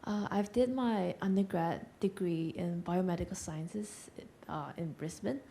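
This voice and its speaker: Mellow, gravelly woman. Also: lowish voice, measured pace. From Malaysia. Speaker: student.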